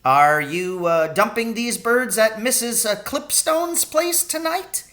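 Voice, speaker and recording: A man performs a sly old country taxi driver from a storybook. He is a rustic poacher who talks in colloquial exclamations. The voice rides high and bright, swooping up and down. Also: expressive, takes it slowly, full character voice. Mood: jovial.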